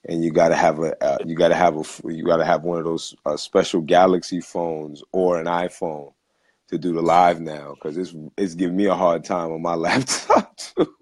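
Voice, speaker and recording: Low-voiced man rambling on a phone. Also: fairly even intonation, normal speed, darkish tone. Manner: rambling, many fillers and restarts. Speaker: host. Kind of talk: casual live-stream talk.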